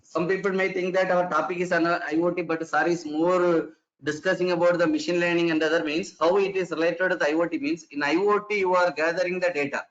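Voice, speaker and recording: A man's voice: sits high, steady tone, a little fast, fairly bright tone. A man giving an online lecture to teachers. He explains things step by step, repeats and restarts his phrases, and asks rhetorical questions. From India. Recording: dull lo-fi online session audio.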